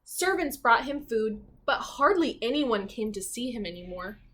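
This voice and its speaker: A woman teacher reading a children's storybook aloud expressively, pausing to put simple questions to listeners. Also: fairly high pitch, sing-song, pushed and bright, low-quality recording.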